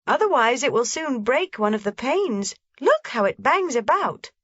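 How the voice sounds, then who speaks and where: Woman putting on a high, eager little girl. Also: very animated, measured pace, fairly bright tone, slightly rough, no room sound, full character voice. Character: lively, anxious little mountain girl. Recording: slightly dull, dry lo-fi recording.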